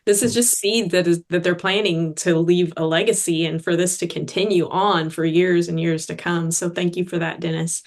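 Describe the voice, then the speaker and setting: A woman's voice: fairly low pitch, steady tone, moderate pace, few pauses. A woman hosting an online training call. She speaks in an upbeat, thankful way with loose fillers. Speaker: training host.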